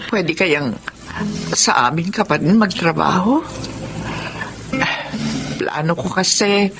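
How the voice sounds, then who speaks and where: A woman's voice: lowish voice, takes it slowly. A woman playing a contrite mother and employer in a Filipino radio drama. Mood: humble, grateful. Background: music under the voice.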